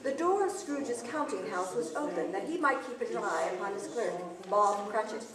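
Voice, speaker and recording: A woman's voice: mid-range voice, lively intonation, normal speed, a crowd in the background. A woman narrating a story in a theatrical, emphatic scripted style. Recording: echoey distant stage recording, faint noise.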